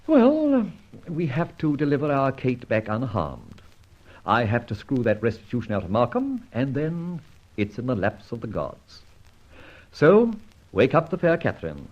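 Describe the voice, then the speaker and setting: A husky, dashing masked vigilante in a vintage radio detective serial. He is sardonic and commanding, with theatrical, widely swooping delivery. The old recording sounds dull. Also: medium pitch, huge pitch swings, measured pace, darkish tone. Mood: brisk, wry. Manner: theatrical, clipped, declamatory. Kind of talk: old-time radio drama acting.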